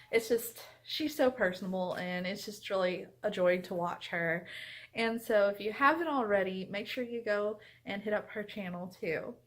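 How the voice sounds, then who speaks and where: A woman's voice: mid-range voice, fairly bright tone. A woman chats casually to her viewers as a book vlogger, gushing and leaning on her words. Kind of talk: casual vlog chat to viewers.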